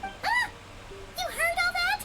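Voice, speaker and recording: A woman voicing a tiny childlike fairy companion in a video game, squeaky, cheeky and excitable. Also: slow, full character voice. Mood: flustered. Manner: exclamatory, refers to self in third person.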